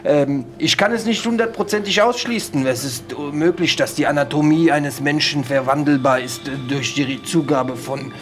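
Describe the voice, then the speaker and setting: A man's voice: on the high side, normal speed, few pauses, faint music behind, close to an everyday voice. A man voicing a pompous, eccentric French doctor in a horror audio drama, formal, hesitant and rambling. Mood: musing, hesitant.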